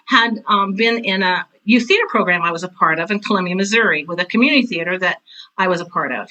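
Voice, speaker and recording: Woman, 60s, podcast guest: bright, forceful voice, mid pitch, chatty and emphatic storyteller. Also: rough recording. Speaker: interview guest, retired theater teacher and director. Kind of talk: casual conversational storytelling.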